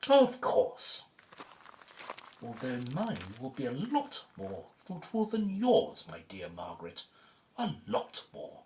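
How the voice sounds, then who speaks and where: Sly, condescending gentleman played by a man, unhurried and very animated in pitch, arch radio drama villainy. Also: a little hiss or hum, heavily acted. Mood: smug, teasing. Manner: arch, smug, drawling. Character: smug, suave gentleman rival, slyly condescending. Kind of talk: audio drama acting.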